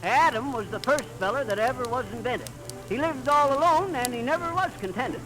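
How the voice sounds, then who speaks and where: An actor playing a boastful, folksy small-town know-it-all husband with rustic, blustering speech in 1930s radio comedy style. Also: male voice, very high voice, animated, a little fast, music in the background, poor audio. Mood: indignant, boastful. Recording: vintage 1930s radio recording.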